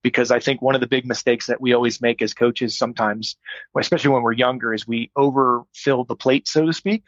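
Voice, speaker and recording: A man's voice: mid-range voice, fairly even intonation, a little fast, no echo, lo-fi sound. A middle-aged man, a strength coach, answering interview questions in a thoughtful, explanatory way with frequent fillers. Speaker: strength coach, podcast guest.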